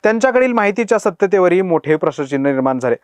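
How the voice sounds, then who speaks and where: Guy doing a news explainer, talks really quick and punchy, dry close sound. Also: sits high, very animated, a little mellow, no echo. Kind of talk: scripted news explainer narration.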